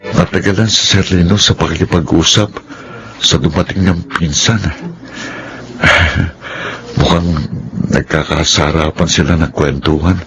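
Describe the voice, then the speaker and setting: A deep-voiced loyal working man. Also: steady tone, a little slow, long pauses, a bit bright, poor audio. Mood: musing, concerned. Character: loyal, kind-hearted male employee of modest means, anxious. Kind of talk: radio drama acting with spoken inner monologue.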